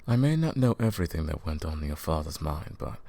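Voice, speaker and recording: A man's voice: rumbling low, very animated, quick pace, vocal fry, mellow, exaggerated voice. A man playing a falsely sympathetic, condescending royal advisor in a fantasy audio roleplay, speaking to the listener in a courtly, patronizing, theatrical way. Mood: condescending consolation.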